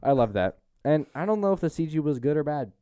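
A man's voice: on the high side, big pitch swings, fast, mellow, smooth, no echo, clean studio sound. A man hosting a casual movie-review podcast chat, often exasperated as he keeps his friends on track. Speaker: podcast host.

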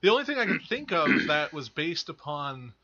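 A man's voice: a little high, big pitch swings, moderate pace, sharp tone, lo-fi sound. A man co-hosting a podcast, talking casually and conversationally about movies and games. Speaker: podcast co-host. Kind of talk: casual movie and game chat.

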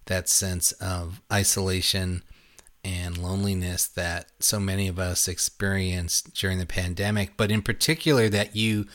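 A man hosting a casual film interview, phrasing his questions in a halting, reflective way. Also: on the low side, a bit bright. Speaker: interview host.

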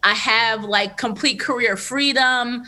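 A woman in her thirties, a podcast host, telling a candid personal story in a loose, animated way with lots of fillers. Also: a little high, takes it slowly, a loud crowd. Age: 30s. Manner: animated, filler-heavy, laughs often. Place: Los Angeles area.

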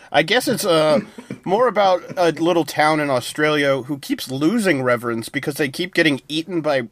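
A man's voice: on the high side, moderate pace, fairly bright tone. A man co-hosting a casual TV review show, rambling through plot summaries with plenty of fillers. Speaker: podcast co-host.

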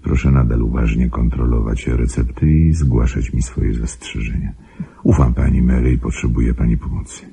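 Very deep, gravelly, brooding gentleman. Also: steady tone, full character voice. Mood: earnest, persuasive. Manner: pleading, halting, intense. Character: brooding, persuasive wealthy married gentleman. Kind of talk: Polish radio play acting.

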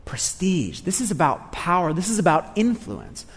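A man's voice: high voice, big pitch swings, moderate pace, husky, long reverb tail. A man preaching a sermon, earnest and repeating phrases for emphasis.

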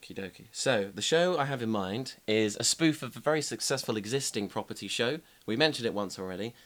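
A young man with a congested, bunged-up voice, chatting on a comedy podcast with dry, deadpan humor. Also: mid-range voice, fairly quick. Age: young adult. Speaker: podcast panelist.

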